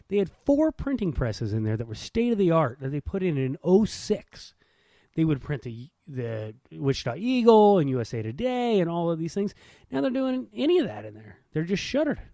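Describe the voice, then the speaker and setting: A man's voice: fairly high pitch, dark tone, no echo. A man chatting casually as a podcast co-host, telling stories in an informal, slangy way. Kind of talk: casual chat.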